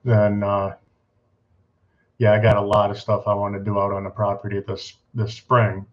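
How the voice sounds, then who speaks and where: A man's voice: lowish voice, monotone, moderate pace. A man co-hosting a live stream, chatting casually and joking. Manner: joking, easygoing. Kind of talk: casual chat. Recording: dull lo-fi stream audio.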